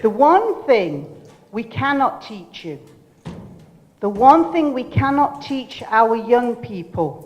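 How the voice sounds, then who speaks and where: An older woman giving a motivational keynote speech, loud and commanding, directing the audience. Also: fairly high pitch, big pitch swings, takes it slowly. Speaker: keynote speaker. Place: lecture hall. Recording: lecture hall reverb.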